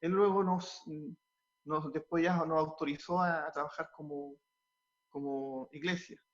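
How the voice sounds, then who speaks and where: Man, pastor, earnest, halting, repeats words, fairly high voice, muffled lo-fi sound. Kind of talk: interview answers, turning preachy.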